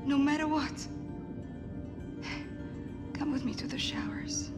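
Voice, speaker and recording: A woman's voice: sits high, slow pace, music in the background. An actress playing a devoted, emotional woman in a video game drama, earnest and tender with her soldier lover. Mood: tender, pleading. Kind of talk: video game cutscene acting.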